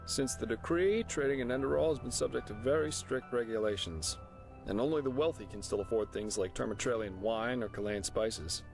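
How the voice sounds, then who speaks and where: A man acts out a chatty fantasy game companion with an ordinary mid-pitched male voice. He is wry and easygoing, guiding a newcomer with casual, joking explanations. Soft music plays behind him. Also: fairly quick, gravelly, lightly acted. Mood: explaining, easygoing. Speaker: travelling companion and guide character. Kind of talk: scripted fantasy game dialogue.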